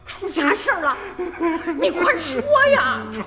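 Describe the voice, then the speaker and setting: A woman's voice: very high-pitched, big pitch swings, unhurried, music in the background, exaggerated voice. An actress playing an anxious, middle-aged village mother in a radio drama, urgent and agitated. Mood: alarmed, urgent. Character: anxious rural mother fearing for her grown son.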